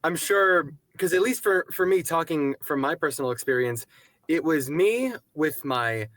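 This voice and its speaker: A man's voice: fairly high pitch, expressive, normal speed, a bit bright, dry recording. A man voice actor casually telling his story on a video call. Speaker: voice actor guest. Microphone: home booth microphone.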